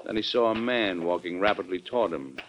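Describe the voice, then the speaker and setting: An actor playing a genial, plain-spoken president who tells his own story in a measured, folksy way in an old-time radio drama. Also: low voice, animated, very noisy. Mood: measured storytelling. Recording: dull old radio recording, background music.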